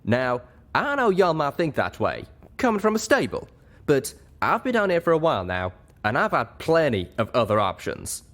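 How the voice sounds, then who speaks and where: A man's voice: fairly high pitch, huge pitch swings, moderate pace, fairly bright tone, pitched above the performer's own voice. A man voicing a young, plain-spoken cowboy pony with a folksy drawl for a storybook reading. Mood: defensive. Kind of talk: audiobook character voice.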